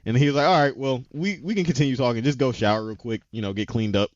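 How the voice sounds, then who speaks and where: A man's voice: mid-range voice, fast talker, no room sound. Slangy man retelling episodes, dry dull sound. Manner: animated retelling, acts out character lines, slangy. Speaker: podcast co-host. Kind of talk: casual chat recapping anime episodes.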